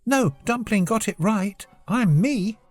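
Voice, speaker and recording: A woman's voice: mid-range voice, animated, slow, faint music behind, pitched above the performer's own voice. A woman voicing a polite young girl in a children's story, a little puzzled and eager to help. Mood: confident. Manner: polite, questioning.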